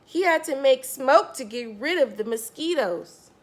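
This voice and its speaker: High-voiced woman reading animatedly to children. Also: expressive, a little slow. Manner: addresses young listeners directly, emphasising key words. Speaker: storyteller reading a picture book to children. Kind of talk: expressive children's read-aloud with questions to the listener.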